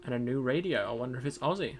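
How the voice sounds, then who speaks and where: A man streaming a game in casual commentary. He is chatty and self-deprecating, thinks aloud, and has a loud voice. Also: mid-range voice, lively intonation, rapid-fire, a little hiss or hum.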